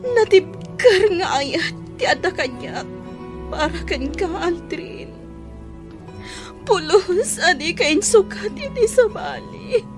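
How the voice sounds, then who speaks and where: An actress with an Ilocano accent playing a lovesick, tearful young mother in an emotional radio drama, with plaintive, drawn-out delivery. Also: very high-pitched, lively intonation, exaggerated voice. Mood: tearful devotion. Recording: radio drama with loud music bed.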